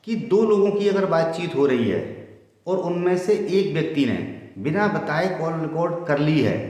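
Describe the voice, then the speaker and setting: Middle-aged man, heavily echoing room. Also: on the high side. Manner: deliberate, formal, emphatic repetition for clarity. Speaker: lawyer and legal explainer. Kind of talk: explanatory legal talk to viewers. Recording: very echoey room with long reverb.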